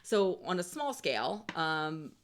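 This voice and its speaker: A woman's voice: deep voice, big pitch swings, a little slow, slight background noise. A woman speaking as a game designer guest, explaining things in a casual, chatty way with quoted asides.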